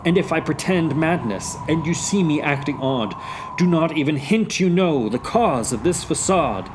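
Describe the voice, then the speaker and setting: A man's voice: fairly high pitch, animated, moderate pace, noisy background, pitched above the performer's own voice, close to an everyday voice. A man voicing a brooding, defiant young prince in a dramatic, declamatory storytelling style. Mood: resolute.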